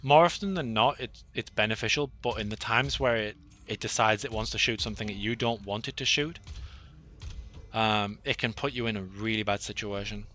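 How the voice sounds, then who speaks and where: A man giving rambling, self-deprecating commentary over his own gameplay, with many fillers and a flat, monotonous delivery. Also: on the low side, moderate pace. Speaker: gaming channel host.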